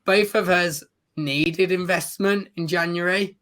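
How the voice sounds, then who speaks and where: A man who has lost his voice, chatting casually as a football show co-host in an agreeable, measured way. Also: high-pitched, steady tone, a bit bright. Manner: measured, agreeable, uses fillers like "um" and "you know". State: losing his voice.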